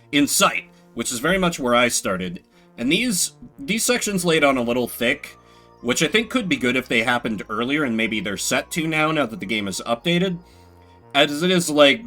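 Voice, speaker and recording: A man's voice: mid-range voice, pushed and bright. A man doing solo video game commentary, reading game text aloud dramatically and adding sardonic, rambling asides. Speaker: game streamer.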